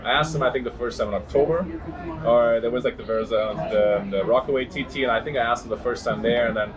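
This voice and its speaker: A man in his forties hosting a podcast, interviewing casually with many pauses and restarts. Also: medium pitch, a crowd in the background. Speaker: podcast host.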